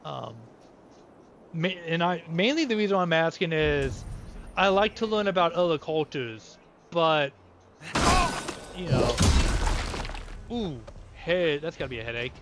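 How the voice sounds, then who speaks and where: A man's voice: on the high side, unhurried, long pauses. Rambling man in his thirties over game noise. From United States. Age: 30s. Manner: rambling with restarts. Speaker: gamer. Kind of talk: casual gameplay commentary. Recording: steady background noise.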